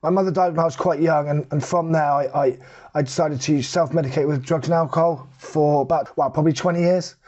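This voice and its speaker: Man, middle-aged, slightly higher voice, plain-spoken, conversational, candid personal storytelling. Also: steady tone, fairly quick, a little mellow, lo-fi sound. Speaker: charity ambassador telling personal story. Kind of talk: candid personal testimony to camera.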